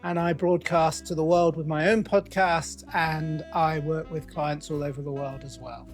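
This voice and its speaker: A middle-aged man from England with a British accent, a coach telling his story as a guest in a conversational interview, animated and playing out his own quoted thoughts. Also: on the high side, normal speed, faint music behind. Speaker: guest, accountability coach and author.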